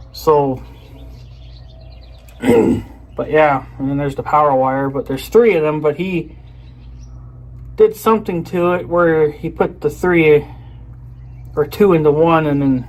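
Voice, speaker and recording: A man chatting casually to viewers as he shows off an item, rambling and often trailing off mid-sentence. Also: on the high side. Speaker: video creator. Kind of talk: casual unboxing chat to viewers. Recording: clear background noise.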